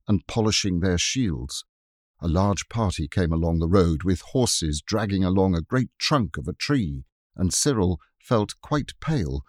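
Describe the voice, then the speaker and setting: A man narrating a classic children's book, expressive and wryly amused, read into a close studio mic. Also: low-pitched, dry recording. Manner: expressive, wry. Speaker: audiobook narrator. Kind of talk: storybook narration.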